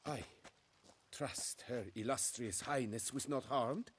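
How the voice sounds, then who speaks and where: An actor playing a fussy, proud winery steward in a fantasy game, formal and deferential. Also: male voice, mid-range voice, slow pace, husky. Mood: flustered, deferential. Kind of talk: video game voice acting.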